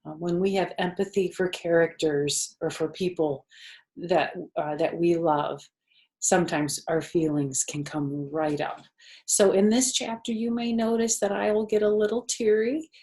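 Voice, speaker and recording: An older woman reading aloud to children as a storyteller, gentle and expressive, with friendly asides. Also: lowish voice, measured pace.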